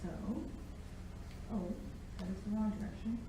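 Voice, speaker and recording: A woman's voice: medium pitch, steady tone, unhurried. Echoey American woman giving a talk. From United States, born in Japan. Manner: conversational, engages the audience with questions. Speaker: academic guest speaker, Latin researcher. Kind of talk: informal public lecture with personal storytelling. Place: echoey indoor lecture room. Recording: echoey room, distant mic, faint noise.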